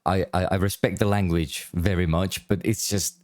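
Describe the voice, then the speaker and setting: Guy hosting a podcast, super low voice, keeps restarting his sentences, dry sound. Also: fairly even intonation, normal speed, darkish tone, no room sound. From Czech Republic. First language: Czech. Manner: enthusiastic, frequent word repeats and restarts. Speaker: podcast host. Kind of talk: casual interview chat.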